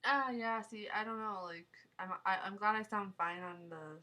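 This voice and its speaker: A woman's voice: medium pitch, moderate pace, pushed and bright. Nasal young woman chatting on a live stream. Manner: chatty, rambling, laughs often. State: nasal from a sinus cold. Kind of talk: casual live Q&A chat. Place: home, small town.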